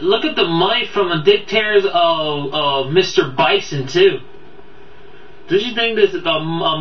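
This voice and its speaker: A man giving a casual, unscripted video review, rambling and stumbling as he repeats his words. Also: fairly high pitch. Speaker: amateur video game movie reviewer. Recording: boxy echoey room, distant dull mic, background audio.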